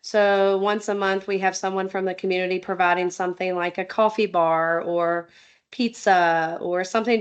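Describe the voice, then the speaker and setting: A woman's voice: medium pitch, a bit bright, clean tone. A woman presenting a school report at a board meeting, reading through names and lists steadily with frequent ums. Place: public meeting room.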